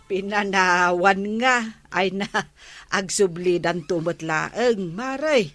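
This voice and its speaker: An actress with an Ilocano accent playing a hospitable village woman who serves food, with a drawn-out, coaxing delivery. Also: medium pitch, a bit bright, dry recording, soft background music. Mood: chatty. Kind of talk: 1950s-style radio comedy acting.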